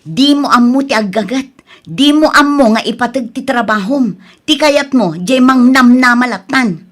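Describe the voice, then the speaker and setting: Casting: actress as frustrated, dutiful elder sister around thirty, high voice, Ilocano accent, reproachful audio-drama delivery. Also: female voice, moderate pace, close to an everyday voice. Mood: exasperated scolding. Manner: exasperated, reproachful.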